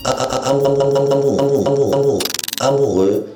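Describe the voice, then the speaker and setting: An actor playing a young man who speaks slowly in solemn, inverted phrases. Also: medium pitch, natural voice. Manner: slow, solemn, inverted word order. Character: young male applicant talking in inverted sage-like phrasing. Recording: echoey room with music.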